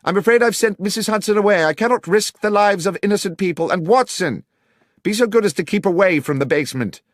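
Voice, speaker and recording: A man's voice: high-pitched, fast, dry recording, clean studio sound, pitched above the performer's own voice, natural voice. A man voicing a brilliant, commanding detective in an audiobook, with a British accent and precise, formal, authoritative manner. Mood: calling out, firm. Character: brilliant, commanding male detective, brisk and grave.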